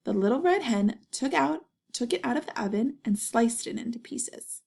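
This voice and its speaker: A woman reading a children's story aloud and pausing to put questions to her young listeners. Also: a little high, animated, creaky, a little mellow, slightly rough.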